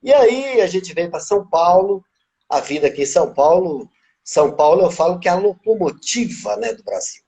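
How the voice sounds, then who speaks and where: A man in his fifties with a slight Bahian accent, telling his life story as an interview guest in a relaxed, reflective way. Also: high-pitched, moderate pace, vocal fry. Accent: Bahian Portuguese. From Brazil, born in Minas Gerais, raised in Bahia. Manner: relaxed, reflective, tags phrases with "né?".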